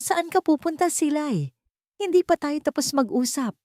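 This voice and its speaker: A woman's voice: high voice, lively intonation, moderate pace, a little mellow, dry recording, pitched above the performer's own voice. A cold, remorseless older villain played by a woman in a narrated melodrama. The uncle talks in a smug, taunting way, with menace in each line. The sound is close and dry.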